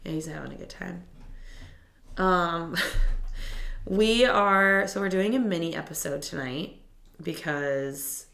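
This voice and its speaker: A woman's voice: on the low side, slow, vocal fry, long pauses, fairly bright tone, roomy sound. A young woman co-hosting a podcast in casual chat, a little stuffy and nasal, laid-back and giggly. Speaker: podcast co-host. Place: indoors at home, dog nearby.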